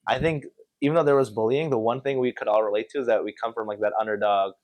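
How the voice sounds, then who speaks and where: A young man from Connecticut, a podcast guest telling stories in an animated, slangy, casual way. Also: mid-range voice, fast, no echo. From Connecticut, USA. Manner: animated, slangy storytelling with many filler yeahs. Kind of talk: casual chat.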